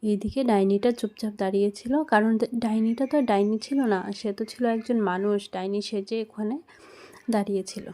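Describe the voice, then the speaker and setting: A woman narrates a scripted children's ghost story. Her voice sits at an ordinary middle pitch, and she tells the tale as a channel storyteller. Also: fairly even intonation, brisk, warm tone.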